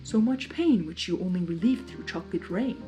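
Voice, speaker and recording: A woman voicing a wise, cryptic female zebra seer in a storybook reading, measured and knowing. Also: lowish voice, lively intonation, mellow, soft background music, lightly acted, low-quality recording. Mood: mystical. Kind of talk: dramatized fanfiction storytelling.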